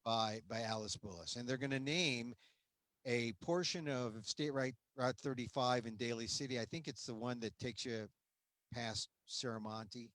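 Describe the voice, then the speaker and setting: Man, host, bright forceful voice, dry close sound, animated, interjecting. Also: mid-range voice, normal speed, dry recording. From United States. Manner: animated, interjecting, laughs often. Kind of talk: conversational interview.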